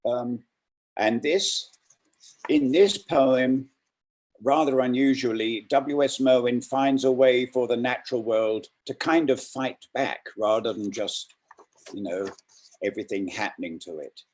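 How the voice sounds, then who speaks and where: A man presenting and reading poetry aloud in a deliberate way, with informal introductions. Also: mid-range voice, a little mellow.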